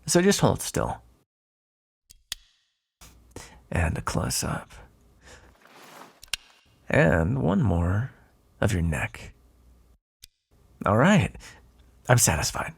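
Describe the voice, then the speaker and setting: An actor playing a flirtatious, smugly predatory yet caretaking male vampire in an intimate ASMR roleplay, with a breathy voice and teasing asides. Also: lowish voice, wildly swooping pitch, slow pace, long pauses, slight background noise, full character voice. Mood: hungry, admiring. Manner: teasing, drawling asides with soft sighs and chuckles. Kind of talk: ASMR roleplay, intimate second-person acting.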